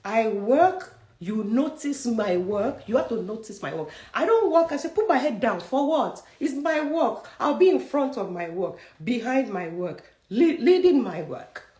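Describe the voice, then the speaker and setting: A young Nigerian woman with a Nigerian accent, giving a forceful, preachy motivational career talk that challenges her listeners directly. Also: a little high, big pitch swings, measured pace, few pauses, roomy sound. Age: young adult. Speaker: career coach.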